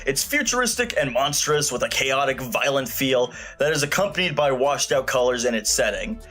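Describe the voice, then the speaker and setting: A man's voice: medium pitch, animated, brisk, sharp tone. A man reading a scripted video review in an opinionated, colloquial and emphatic way. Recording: music playing behind the voice. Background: background music.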